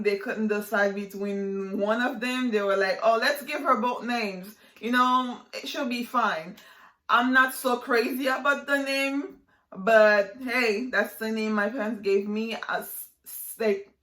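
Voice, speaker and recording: A chatty woman in her twenties, originally from Haiti, talks to her viewers in a relaxed vlog style. Her voice sits at an ordinary middle pitch. The room around her gives the sound a noticeable echo. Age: 20s. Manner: chatty, lots of fillers and likes. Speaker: vlogger. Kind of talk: casual vlog chat to camera.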